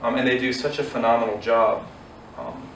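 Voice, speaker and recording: A man's voice: mid-range voice, big pitch swings, normal speed, creaky, a bit bright. A man hosting a casual interview as a church pastor, asking questions in a conversational way. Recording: echoey, distant mic, faint noise.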